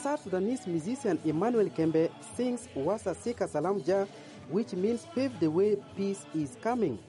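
Reporter guy reading his piece, mellow voice, bit of music in the back. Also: high voice. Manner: even, measured narration. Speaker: news reporter. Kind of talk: scripted news report.

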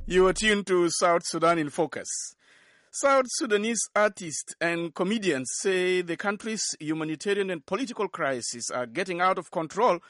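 A man's voice: high voice, no room sound, clean studio sound. A man hosting a radio news program, reading formal scripted links. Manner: steady, formal announcing.

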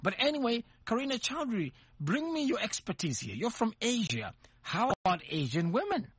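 A man from Africa hosting a panel talk show, moderating and laughing often between quick questions. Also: very high-pitched, big pitch swings, slightly rough.

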